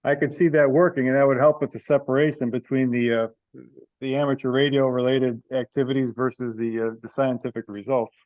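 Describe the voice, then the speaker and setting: Male meeting presenter, halting and informal, dry thin teleconference line. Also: mid-range voice, fairly even intonation, no room sound, muffled recording. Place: online conference call. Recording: limited-band teleconference audio.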